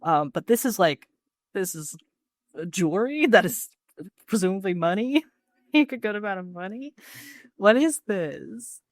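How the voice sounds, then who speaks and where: A woman's voice: medium pitch, animated, measured pace, long pauses, no room sound. A woman co-hosting a podcast in casual chat, playful, slangy and full of exclamations. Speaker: podcast co-host.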